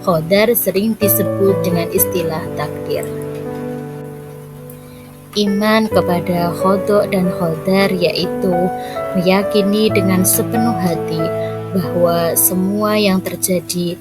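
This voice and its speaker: A woman teaching a recorded lesson for schoolchildren, explaining step by step in a clear, measured way. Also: medium pitch, steady tone. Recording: loud background music.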